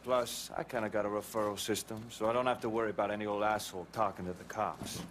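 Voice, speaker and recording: A man's voice: lowish voice, fairly even intonation, fast talker, a bit bright, a little hiss or hum, natural voice. Guy doing young american hippie vet, grumbly n sweary, slangy, video game scene with sound behind him. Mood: easygoing. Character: scruffy, profane young hippie veteran growing weed. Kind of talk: video game cutscene acting.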